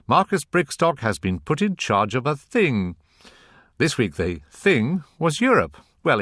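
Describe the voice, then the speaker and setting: A man's voice: mid-range voice, a bit bright, no room sound, studio quality. A British man hosting a radio programme, presenting and interviewing in a pointed, wry manner. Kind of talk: scripted presenting and probing interview.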